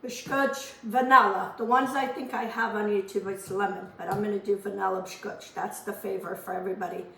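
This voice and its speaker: A hoarse woman, chatting homely and rambling as she demonstrates a recipe in her home kitchen. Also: medium pitch, fairly even intonation, fairly quick, hardly any pauses, a bit bright, far from the mic, a little hiss or hum. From Azores. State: hoarse from a lingering cough. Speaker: cooking channel host. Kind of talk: casual cooking demonstration. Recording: roomy kitchen, voice off-mic.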